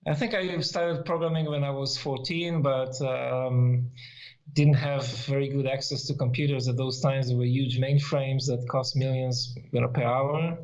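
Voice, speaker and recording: Middle-aged native Hebrew speaker, slightly dull audio. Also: male voice, medium pitch, steady tone, unhurried, darkish tone. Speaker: interview guest, software developer. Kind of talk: casual, explanatory interview talk.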